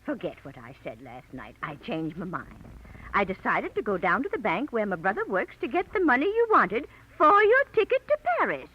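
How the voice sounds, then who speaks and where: A woman's voice: medium pitch, big pitch swings, normal speed, husky. An actress playing a chatty, wheedling woman in a 1940s radio drama, cooing with feigned innocence. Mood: innocent, placating. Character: chatty, wheedling woman who calls everyone dearie. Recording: dull, noisy old radio recording.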